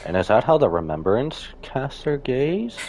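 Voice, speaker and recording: A man's voice: mid-range voice, big pitch swings, normal speed, darkish tone, slight background noise. A man streaming live, giving casual reaction commentary on a game story as it plays.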